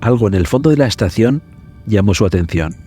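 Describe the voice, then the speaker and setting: A man narrates a horror tale in a low, dark, mellow voice. His storytelling is measured and dramatic, as in a scripted suspense podcast, with music underneath. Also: lively intonation. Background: background music.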